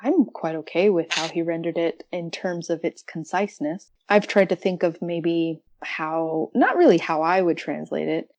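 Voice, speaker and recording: A woman with a somewhat low voice talks as a guest in a casual book discussion. Her manner is reflective and informal, with a giggly streak. The recording is dry, without room sound. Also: a little mellow, no echo. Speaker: podcast guest.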